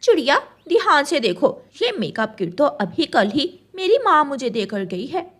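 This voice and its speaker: A vain thieving crow from a children's cartoon, voiced by a woman. She talks high and brash, cocky and sly in every line. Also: sing-song, normal speed. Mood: brazen.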